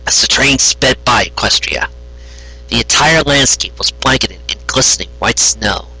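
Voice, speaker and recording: A man reading a story aloud as its narrator, in an expressive storytelling style. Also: medium pitch, breathy edge, rough recording. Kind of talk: expressive fan fiction narration, read aloud.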